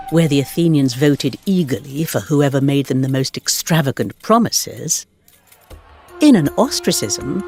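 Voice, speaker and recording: A man narrating history for game cutscenes as a measured, dramatic storyteller with wry asides. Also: medium pitch, creaky. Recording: music under the voice. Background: background music.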